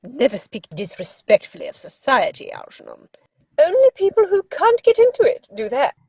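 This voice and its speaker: A woman playing an imperious older aristocratic English aunt in a comic stage-play reading, with pompous, deliberate delivery. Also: high voice, huge pitch swings, warm tone, slightly rough, no echo, full character voice.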